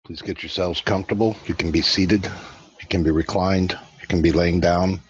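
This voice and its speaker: Deep, husky man leading guided meditation. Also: steady tone. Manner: slow, soothing instruction with long pauses. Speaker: host and guided meditation leader. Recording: dull, lo-fi, roomy sound.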